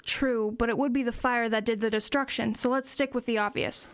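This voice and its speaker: A woman's voice: fairly high pitch, steady tone, brisk, dry recording, lightly acted. A woman playing an analytical teenage schoolgirl in an audio drama, matter-of-fact and reasoning things out aloud. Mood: analytical, determined. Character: earnest, analytical teenage schoolgirl amateur sleuth.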